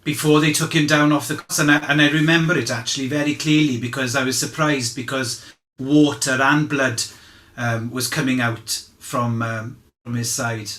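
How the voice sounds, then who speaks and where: Man acting a bright-voiced, halting fisherman witness, roomy. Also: mid-range voice, fairly even intonation, close to an everyday voice. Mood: sombre recollection. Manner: halting, conversational, candid. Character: John, candid humble Galilean fisherman testifying as eyewitness. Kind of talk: dramatized courtroom testimony, naturalistic. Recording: roomy, echoing sound.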